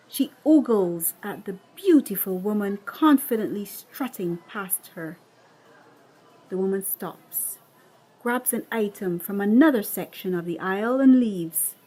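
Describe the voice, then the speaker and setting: A woman reading a screenplay as dramatic scripted narration, expressive and deliberate in her storytelling. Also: mid-range voice. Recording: music under the voice. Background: music.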